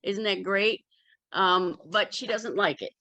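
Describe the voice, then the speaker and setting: A woman's voice: medium pitch, unhurried, pushed and bright, no room sound, muffled recording. A woman chatting casually in a group as an author, with a candid, wry way of talking. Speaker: memoir author in a community discussion.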